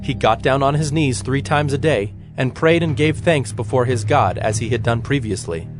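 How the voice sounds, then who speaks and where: A man narrating scripture as a formal, measured storyteller in a dramatized production. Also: medium pitch. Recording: music bed behind the voice.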